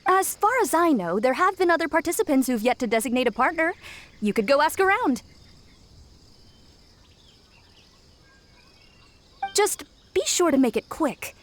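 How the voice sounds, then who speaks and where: A woman's voice: high voice, lively intonation, brisk. A woman voicing a young shrine maiden in a video game, sly, cryptic and theatrically foreboding. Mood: matter-of-fact. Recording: faint background music.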